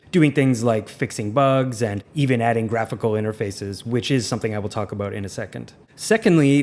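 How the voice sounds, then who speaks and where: Male host, mid-range pitch, a touch raspy today, plain candid explainer tone. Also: a little mellow. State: slightly raspy voice. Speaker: music software reviewer. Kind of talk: scripted video narration.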